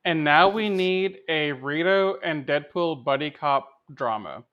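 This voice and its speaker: A man's voice: on the high side, measured pace. A man hosting a casual podcast, heard through a slightly dull, lo-fi microphone. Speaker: podcast host.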